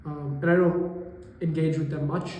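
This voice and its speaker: A young man in his twenties, a college student from New York, answering interview questions thoughtfully and pausing to find his words. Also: a little high, fairly even intonation, mellow. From New York area, US. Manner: thoughtful, pauses to search for words. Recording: echoey room with long reverb.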